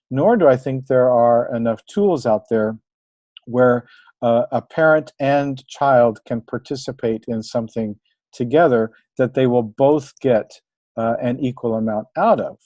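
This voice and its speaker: A man's voice: medium pitch, measured pace, dark tone, no room sound. A middle-aged man, a language teacher, giving an encouraging, conversational webinar presentation with the occasional filler. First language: English.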